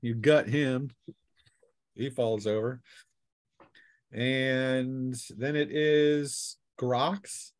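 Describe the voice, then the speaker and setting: A man's voice: medium pitch, steady tone, drawn-out words, pauses often. A man refereeing a tabletop role-playing game, talking off the cuff as he narrates the action and works through the rules. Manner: conversational, narrates action between rules talk and arithmetic. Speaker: game master.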